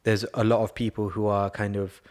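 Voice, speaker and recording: A man asking questions in a casual conversation, halting and repeating words as he searches for the right phrasing. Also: fairly low pitch, level tone, darkish tone. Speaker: podcast co-host, interviewer.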